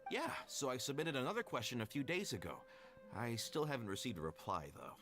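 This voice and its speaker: An actor playing a helpful, matter-of-fact scholar in a video game, explaining things articulately. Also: male voice, medium pitch, huge pitch swings, brisk, music in the background. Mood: eager, pleased. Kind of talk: video game character dialogue.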